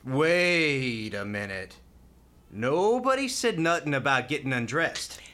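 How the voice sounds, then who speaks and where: Cocky young New Yorker, swoopy pitch. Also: male voice, very animated, slow pace, pushed and bright, full character voice. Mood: taken aback. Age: young adult. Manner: slangy, cocky, informal. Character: brash, streetwise, cheeky young male hospital volunteer. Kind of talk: radio play acting.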